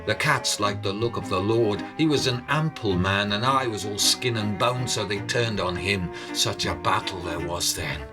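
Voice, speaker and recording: A man telling a tale in a deliberate, suspenseful, theatrical storytelling style. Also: fairly low pitch, over music. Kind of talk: dramatic oral storytelling, scripted narration. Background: musical instrument.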